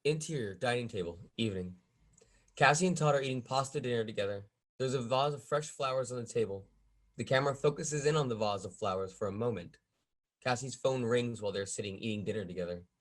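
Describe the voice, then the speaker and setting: A man's voice: mid-range voice, quick pace. Man narrating screenplay stage directions. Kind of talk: scripted narration at a table read.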